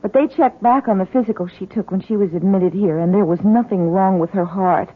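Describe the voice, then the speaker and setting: A woman's voice: medium pitch, brisk, close to an everyday voice. Actress as wary, stubborn young female student. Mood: wary, annoyed. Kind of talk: 1970s radio drama acting. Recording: muffled old radio recording.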